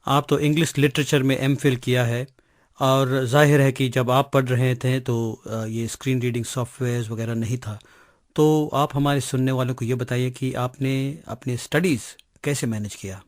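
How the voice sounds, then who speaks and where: A man's voice: medium pitch, fairly even intonation, moderate pace, no room sound, clean studio sound. A man with an Indian accent asking interview questions in a polite, formal way. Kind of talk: interview questioning, Hindi mixed with English.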